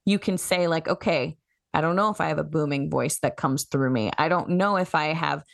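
A woman's voice: low voice, fairly quick, vocal fry, no echo, clean studio sound. A woman who works as a human design coach, explaining her methods as a podcast guest in a coaching tone. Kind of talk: podcast interview.

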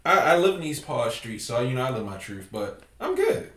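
A man hosting a casual podcast, talking in a loud, profane, joking way. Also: medium pitch, big pitch swings, a little fast, echoey room. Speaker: podcast host.

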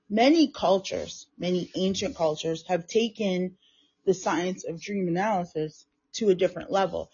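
A woman hosting her own talk show, speaking casually and rambling, with a hoarse, froggy throat. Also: fairly low pitch, a little mellow, muffled recording. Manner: chatty, rambling, repeats and restarts phrases. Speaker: podcast host. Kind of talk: casual solo talk on mindset and manifestation.

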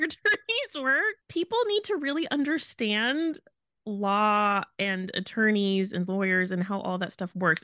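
A woman gives casual, opinionated commentary as a podcast host. Her voice is bright and forceful at a medium pitch, with an incredulous, emphatic delivery on a close, dry mic. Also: no echo. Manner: incredulous, emphatic, rhetorical questions.

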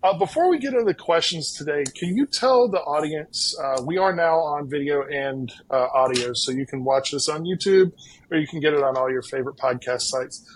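A man's voice: on the high side, fairly quick, husky, poor audio. A man hosting a podcast interview, upbeat and friendly, talking with many fillers. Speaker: podcast host.

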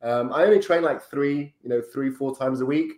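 Brazilian-born man, relaxed guest, roomy echoey sound. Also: mid-range voice, normal speed. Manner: relaxed, chatty, many ums and tag questions. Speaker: podcast guest. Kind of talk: casual interview chat. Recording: roomy echo.

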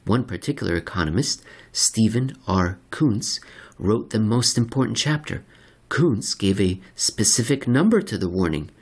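A man narrating a scripted article as a podcast host, with theatrical, emphatic delivery and deliberate phrasing. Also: lowish voice, lively intonation, breathy edge.

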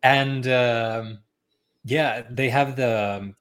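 A young man talking as a guest on a podcast, relaxed, with lots of ums and likes and frequent chuckles. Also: mid-range voice, very slow. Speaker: podcast guest, tech founder. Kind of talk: casual interview chat.

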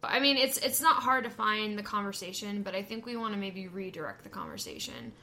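A woman's voice: medium pitch, big pitch swings, brisk, bright, forceful tone. Wry young woman, dry humor. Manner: dry, self-deprecating humor with frequent fillers. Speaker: podcast co-host. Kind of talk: casual chat.

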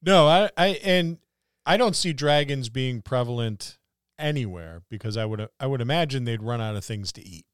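A man's voice: medium pitch, animated, normal speed, smooth, dry recording, studio quality. A man co-hosting a podcast in casual, conversational discussion of a hobby. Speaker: podcast co-host. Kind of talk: casual tabletop gaming discussion.

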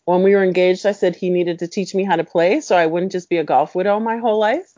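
A woman's voice: lowish voice, fast talker, dark tone, no room sound, dull audio. A middle-aged woman, a clinical psychologist, chatting as a podcast guest in an easy, upbeat way. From Mexico City. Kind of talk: casual interview chat.

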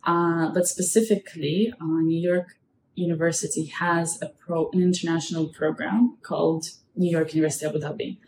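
A young woman from Russia speaks as an interview guest in a conversational way. Her voice is quite low for a woman. The recording is echoey, with faint noise in the background. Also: little pitch movement, moderate pace, darkish tone. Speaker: podcast guest, marketing professional.

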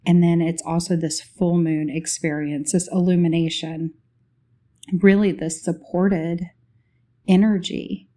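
A woman leading a guided spiritual meditation as host, speaking in short, unhurried phrases with long pauses. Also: on the low side, flat delivery, mellow.

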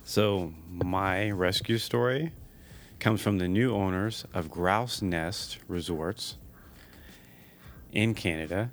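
A man's voice: sits low, takes it slowly, slight background noise. A man co-hosting a casual podcast, chatty and upbeat, laughing easily as he shares stories. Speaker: podcast co-host.

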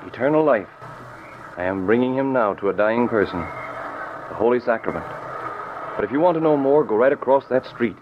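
A man's voice: medium pitch, lively intonation, normal speed, long pauses, close to an everyday voice. Guy playing solemn busy priest, 40s radio play, medium voice, muffled and noisy. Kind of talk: 1940s radio drama acting.

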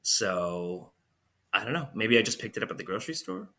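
Young male co-host, stuffy-nosed, bright and animated, rambling casual chat. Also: fairly low pitch, brisk. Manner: rambling, filler-heavy storytelling. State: congested. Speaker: podcast co-host.

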